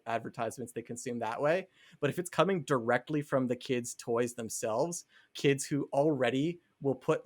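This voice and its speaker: A man co-hosting a podcast, talking casually and earnestly as he argues his opinion, qualifying points as he goes. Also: mid-range voice. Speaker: podcast co-host.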